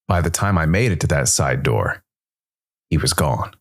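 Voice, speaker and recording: A man narrating a scripted first-person noir story as a world-weary supernatural detective, brooding and dramatic, with deliberate, weighted pauses. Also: a bass voice, animated, creaky, exaggerated voice. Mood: brooding, tense. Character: world-weary supernatural noir detective, male jazz musician working for Death.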